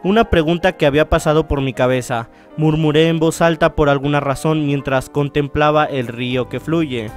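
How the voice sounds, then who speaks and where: A man hosting a visual novel let's-play, chatting casually and reading the text aloud in a halting way, often correcting himself. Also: fairly high pitch, steady tone, faint music behind. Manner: halting read-aloud with stumbles and self-corrections. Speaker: gaming channel host.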